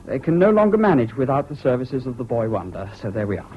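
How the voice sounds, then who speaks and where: Brittle English military man voiced by a male actor, high swooping delivery, radio play on a dull, hissy old recording. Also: sing-song, fast, natural voice. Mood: brittle cheer. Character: anguished, brittle English serviceman, glib then breaking down. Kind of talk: 1970s British radio drama acting.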